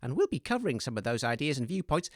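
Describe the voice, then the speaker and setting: A woman's voice: very deep voice, very animated, fast, dry recording, studio quality, full character voice. A woman playing an earnest, fussy, easily exasperated radio co-host in scripted comedy, hesitant and reproachful. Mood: earnest newsreading.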